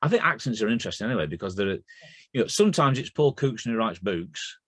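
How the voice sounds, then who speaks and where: Chatty Lancashire man, low and dry. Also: sing-song, fast, fairly bright tone, breathy edge, no echo. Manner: chatty, anecdotal. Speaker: guest poet. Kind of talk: casual conversation.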